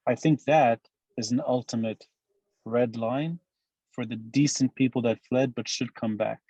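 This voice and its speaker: A man from Lebanon talks through a podcast interview in a measured, emphatic way. His voice sits at a middle pitch, and it is recorded dry and close with no room sound. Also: fairly even intonation, mellow, no echo. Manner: measured, emphatic analytical speech. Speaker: guest commentator.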